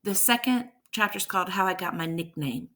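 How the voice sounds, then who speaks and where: A woman's voice: mid-range voice, fairly quick, fairly bright tone. A woman teacher reading a verse story aloud with rhythmic, emphatic delivery and brief explanations.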